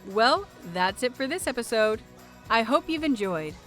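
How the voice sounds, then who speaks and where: A woman's voice: fairly high pitch, animated, moderate pace, a bit bright, soft background music. A woman reading a scripted story aloud as the narrator of an audio fiction series.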